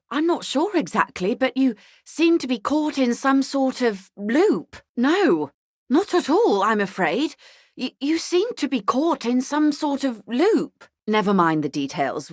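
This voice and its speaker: A woman playing a shrewd, wealthy older negotiator in a video game, speaking in a polished, wry, measured way. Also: a little high, no room sound, clean studio sound. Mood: concerned, puzzled. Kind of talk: video game character dialogue.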